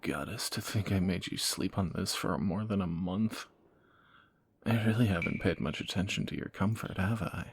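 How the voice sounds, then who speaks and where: A man playing a groggy fantasy assassin in an intimate romantic audio roleplay, husky and halting, with wry understatement. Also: low-pitched, slight background noise. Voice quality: gravelly, husky morning voice. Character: groggy male fantasy assassin, softening captor, flustered and teasing.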